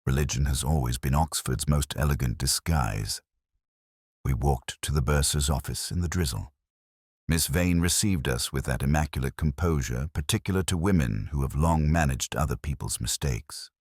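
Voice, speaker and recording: Very deep-voiced brooding detective played by a man. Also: husky, dry recording, clean studio sound. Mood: sombre, wry. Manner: measured, sombre, wry. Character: brooding, world-weary male English police inspector narrating his case. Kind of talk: literary first-person detective drama narration.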